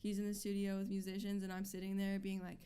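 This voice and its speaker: A young woman of twenty-one talks loosely and quickly, leaning on fillers and short giggles as she explains things. Her voice comes across smooth and clean, with a resonant, even tone. The setting is a relaxed radio studio chat. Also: medium pitch. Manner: chatty, many fillers, giggly. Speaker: band member. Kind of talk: casual interview chat.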